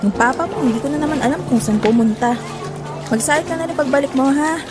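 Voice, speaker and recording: A woman's voice: high voice, fast talker, over music, natural voice. A woman playing an exasperated, struggling mother and nagging wife in a Filipino audio drama, scolding and sarcastic. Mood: weary, motherly.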